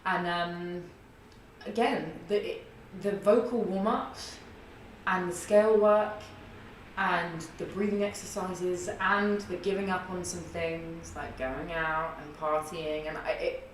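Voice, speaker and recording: A woman in her early thirties with a husky, low alto voice, telling a long story in a rambling, chatty way with many fillers in a podcast interview. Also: steady tone, slow, far from the mic, slight background noise. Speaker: guest, singer and theatre artist. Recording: echoey room, voice off-mic.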